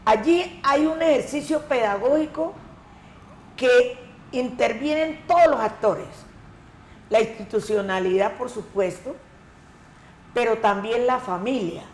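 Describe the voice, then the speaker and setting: A woman from the Colombian Pacific coast, an education coordinator answering interview questions in a measured, emphatic way. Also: a little high, a little mellow, slight background noise. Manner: measured, emphatic, repeats key words. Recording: echoey room, faint ambience.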